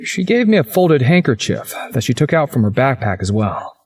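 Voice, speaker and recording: A man's voice: mid-range voice, big pitch swings, normal speed, breathy edge, faint music behind. A man playing a wry teenage schoolboy who narrates his own supernatural visual novel story. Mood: dry, wry. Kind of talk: first-person visual novel narration and dialogue.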